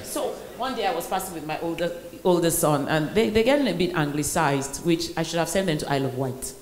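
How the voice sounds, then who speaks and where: Animated Nigerian woman hosting in a hall. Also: low voice, brisk. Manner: animated storytelling with laughter. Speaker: host. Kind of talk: lively onstage conversation. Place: large hall. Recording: echoey hall with faint noise.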